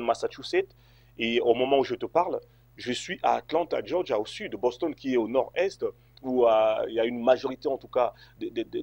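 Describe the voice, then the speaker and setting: A man's voice: medium pitch, darkish tone, breathy edge, dry recording. A man from Congo answering interview questions, hesitant, with many fillers and restarts. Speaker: interview guest.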